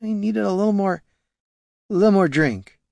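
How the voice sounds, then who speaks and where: A man's voice: mid-range voice, big pitch swings, a little slow, dry recording, voice pushed up. A man voicing a drunken female elf ranger for an audiobook, slurred, rambling and woozily insistent. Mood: groggy, slurring.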